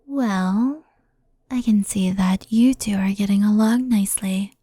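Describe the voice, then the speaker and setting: Girl doing a stern fox spirit for asmr roleplay, soft breathy voice, slow n measured, super close and dry. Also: medium pitch, dry recording. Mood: calm, explaining. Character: stern, composed female fox-spirit yokai, cautious and scolding.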